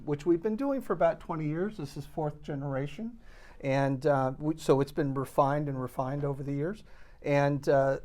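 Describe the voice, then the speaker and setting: A man with a somewhat high voice explains a product as an interview guest. His delivery is conversational and halting, often doubling back on the start of a phrase. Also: a little mellow. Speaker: loudspeaker company representative being interviewed. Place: hotel exhibit room at an audio show.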